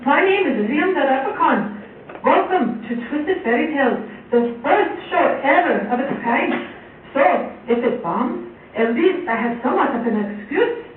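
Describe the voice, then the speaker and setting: A woman voicing a cheerful, folksy male leprechaun narrator in a children's puppet show, theatrically addressing the audience and lamenting with drama. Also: high voice. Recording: muffled, echoey far-off recording, faint noise.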